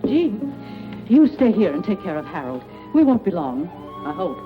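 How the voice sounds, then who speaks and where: A woman's voice: mid-range voice, big pitch swings, measured pace. Worried woman on tinny old radio. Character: worried, bustling woman giving household orders. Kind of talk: 1940s radio thriller acting. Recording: thin, phone-like old radio recording with music.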